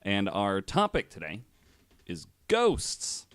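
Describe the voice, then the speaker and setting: A man hosting a podcast, telling stories casually and a bit haltingly, with the odd swear word. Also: on the low side, huge pitch swings, fairly bright tone, clear, resonant tone, no echo. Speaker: podcast host. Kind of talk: casual storytelling on a podcast, swearing now and then.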